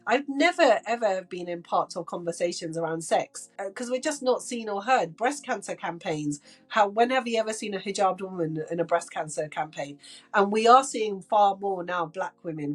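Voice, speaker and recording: A woman doctor whose first language is Punjabi, speaking as a podcast guest in a passionate, emphatic way and repeating words for stress. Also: medium pitch. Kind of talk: podcast interview.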